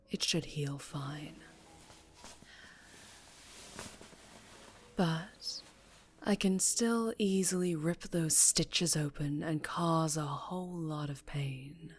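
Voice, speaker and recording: Woman acting a low, slow, menacing mafia boss lady. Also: slightly rough, heavily acted. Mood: soft threat. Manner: teasing, slow, deliberate, drifting into whispers. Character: dangerously charming, menacing female mafia boss, teasing and controlled. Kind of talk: ASMR roleplay acting.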